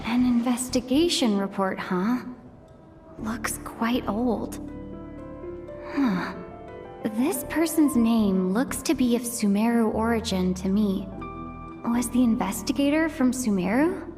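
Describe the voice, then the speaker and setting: A woman's voice: on the high side, expressive, slow pace, over music. An actress playing a cool-headed, skeptical young woman in a video game, musing nonchalantly, with long reverb on the voice. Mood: curious, musing. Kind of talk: anime-style video game cutscene acting.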